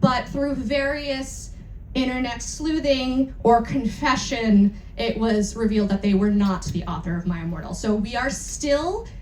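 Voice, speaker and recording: Woman with a highish voice introducing a live show, candid and kinda irreverent, roomy sound, faint noise. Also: a little slow, darkish tone. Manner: candid, irreverent, casually sweary. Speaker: event host introducing a dramatic reading. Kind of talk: informal spoken introduction to a live audience. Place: indoor event room on a cruise.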